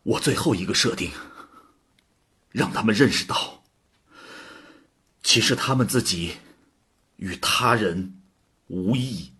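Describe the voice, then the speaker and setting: A man acting an indignant, compassionate God in a radio drama, with a husky voice. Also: on the low side, slow pace, long pauses, pushed and bright, full character voice. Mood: solemn. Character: indignant, compassionate God pleading for living creatures.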